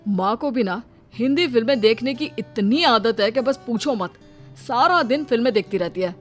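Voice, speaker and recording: A woman's voice: a little high, expressive, normal speed, faint music behind, lightly acted. A woman voicing an easygoing young husband and loyal son in a casual, matter-of-fact way. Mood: complaining.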